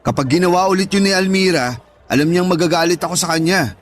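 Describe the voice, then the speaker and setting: A man's voice: high-pitched, fast, close to an everyday voice. A man playing an earnest, lovestruck young man in a Tagalog radio drama, speaking conversationally. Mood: confident. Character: earnest lovestruck young man waiting for his online girlfriend.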